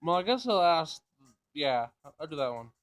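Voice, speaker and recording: A man's voice: on the high side, big pitch swings, normal speed, fairly bright tone. A man casually reading a visual novel aloud, narration and dialogue, sometimes stumbling over the words.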